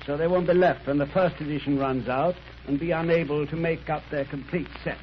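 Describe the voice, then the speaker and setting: An actor playing a genial, gentlemanly detective's companion who tells stories conversationally in 1930s radio style. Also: male voice, fairly high pitch, fairly even intonation, brisk, lightly acted. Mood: genial, sly. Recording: dull, noisy 1930s radio recording.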